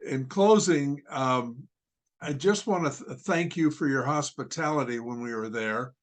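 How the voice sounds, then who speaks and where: A man hosts a podcast interview at middle pitch. He talks in a rambling way, circling back with many fillers. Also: unhurried. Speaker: podcast host.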